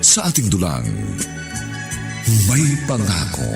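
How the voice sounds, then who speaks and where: A man's voice: low-pitched, wildly swooping pitch, slow pace. A man with a Filipino accent announcing a radio drama in a dramatic, scripted style. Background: music.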